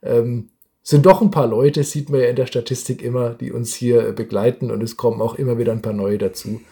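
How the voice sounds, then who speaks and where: A man's voice: mid-range voice, steady tone, brisk, vocal fry, dark tone. A man hosting a podcast in a casual, chatty style. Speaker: podcast host.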